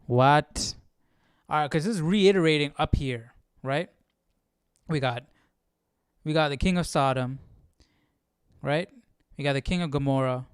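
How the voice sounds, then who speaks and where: A man's voice: fairly high pitch, unhurried, pauses often, clean tone, dry recording, studio quality. A man hosting a casual Bible study, reading aloud and informally thinking through it, often repeating himself and checking his understanding. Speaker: host of a live Bible study.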